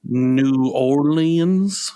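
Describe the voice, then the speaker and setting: A man playing a puzzled, deadpan grown son in a comic radio play. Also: mid-range voice, drawn-out words, clean tone.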